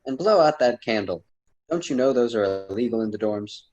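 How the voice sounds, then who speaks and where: A man's voice: lowish voice, lively intonation, fairly quick, a little mellow, dull audio. A man playing a wise, wordy poet mentor with a formal, didactic manner in an amateur student radio drama. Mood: firm advising.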